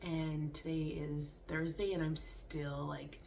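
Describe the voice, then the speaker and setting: Low-voiced stuffy-nosed woman vlogger. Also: level tone, slow, gravelly, darkish tone, slight background noise. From Canada. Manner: rambling, lots of "like" and restarts. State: congested, stuffy nose. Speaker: fitness vlogger. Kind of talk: casual chat to camera.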